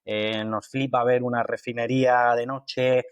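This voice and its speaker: A man from Málaga with an Andalusian accent, a guest talking through an interview in a halting way with many fillers and restarts. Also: medium pitch, flat delivery, smooth, no room sound, lo-fi sound. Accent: Andalusian Spanish from Málaga. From Málaga, Spain. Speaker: guest, water industry engineer. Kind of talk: conversational interview.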